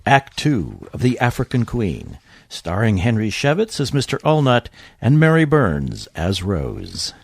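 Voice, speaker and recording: A man's voice: on the low side, huge pitch swings, measured pace, no room sound, studio quality. A man narrating a scripted old-time radio drama as its announcer. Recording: clear background noise.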